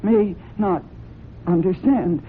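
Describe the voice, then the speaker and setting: A woman's voice: fairly low pitch, takes it slowly. An actress playing an anxious, defensive young woman in a radio drama, earnest and guarded. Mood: desperate, pleading. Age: young adult. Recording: muffled, noisy old radio broadcast recording.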